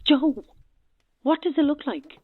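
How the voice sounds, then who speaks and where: An actress playing a concerned, dryly teasing friend, heard through a telephone filter in an audio horror drama. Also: female voice, high voice, animated, measured pace. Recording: tinny, noisy phone line.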